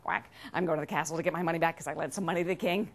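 A woman's voice: low-pitched, steady tone, very fast, voice pushed down. Lady doing a proud lil storybook duck, quacky, mumbly, kinda dithering but nice. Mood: earnest. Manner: quacks before speaking, mumbles and hesitates.

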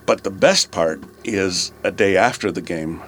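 Middle-aged male guest, fairly low register, unscripted conversational tone, faint room noise. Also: a little slow, fairly bright tone. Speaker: interview guest, a father. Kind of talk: casual conversational interview.

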